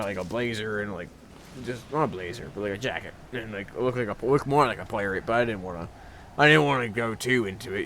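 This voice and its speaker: A young man casually telling rambling anecdotes in a halting way, repeating words and often chuckling. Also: mid-range voice, fairly bright tone, slight background noise.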